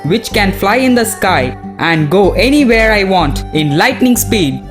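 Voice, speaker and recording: A woman voicing a confident, boastful young prince in a children's animated story. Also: mid-range voice, unhurried, over music, natural voice. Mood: proud, confident.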